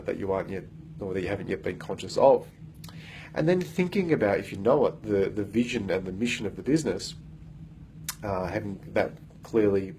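A man's voice: on the low side, mellow, a little hiss or hum, rough recording. A man in his thirties, a coach, talks earnestly into a phone held at arm's length in an office meeting room, with lots of fillers and restarts. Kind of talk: casual self-recorded vlog.

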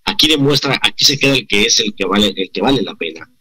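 A bright-toned man in his forties with a Mexican accent talks over a video call, earnest and reflective. He restarts phrases often as he works through his thoughts. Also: on the low side, animated, fast, breathy edge. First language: Spanish. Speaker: actor guest. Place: indoor room.

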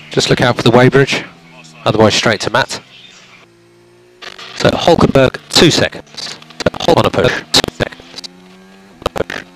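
A man working as a race engineer, giving calm, clipped instructions to a driver over team radio. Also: mid-range voice. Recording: noisy team radio, car engine sound.

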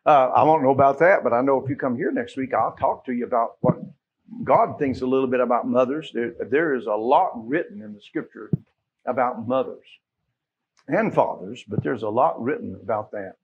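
A middle-aged American man speaks as a pastor at the pulpit, folksy and informal. His voice has a husky, rough edge at an ordinary male pitch. He chats through church announcements and checks in with his listeners, on a somewhat muffled recording. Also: animated, normal speed. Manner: folksy, conversational, asks listeners questions. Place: small church sanctuary, at pulpit.

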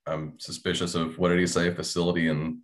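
A man in his thirties with a low voice speaks as a panelist. He discusses things casually, like a writer among colleagues on a video call. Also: flat delivery, a little fast. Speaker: panelist, audio drama writer. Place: indoor room at home, video call.

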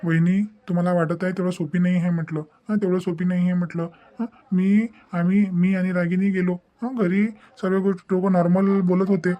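A man's voice: high-pitched, flat delivery, brisk, warm tone, muffled recording, natural voice. A man playing a protective young husband in a cartoon family drama, insistent and lecturing, with frequent questioning hums. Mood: explaining. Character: young husband, protective, reasoning and gently scolding. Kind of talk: amateur Marathi cartoon melodrama dialogue.

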